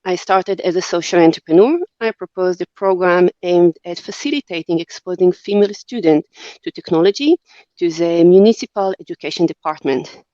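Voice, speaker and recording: A woman's voice: fairly low pitch, a little fast, mellow, dry recording, muffled recording. A woman in her forties from Israel with an Israeli accent, a company head speaking earnestly in a podcast interview, with many fillers and restarts. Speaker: company CEO, guest.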